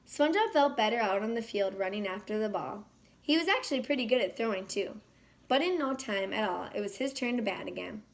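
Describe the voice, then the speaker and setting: A woman reading a children's picture book aloud in an expressive, animated way. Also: mid-range voice, fairly quick, creaky. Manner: animated, acts out dialogue. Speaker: children's read-aloud storyteller.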